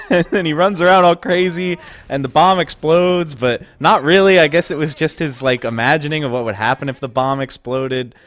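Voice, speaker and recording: A man's voice: a little high, normal speed, fairly bright tone. A young man giving casual, wry commentary over game footage, amused and often laughing at the absurd moments. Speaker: gaming video host.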